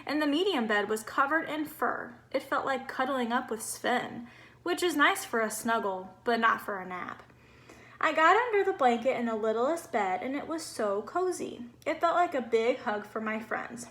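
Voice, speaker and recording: A woman voicing a cheerful, naive little male snowman who tells his storybook tale in a bubbly, expressive way. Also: on the high side, normal speed. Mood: chatty, innocent.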